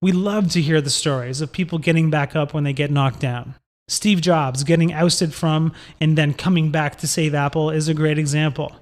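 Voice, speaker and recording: A man with a slightly high-pitched voice reads a scripted summary as a narrator. His delivery is measured and instructional, setting out each point in an explanatory style. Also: fairly even intonation.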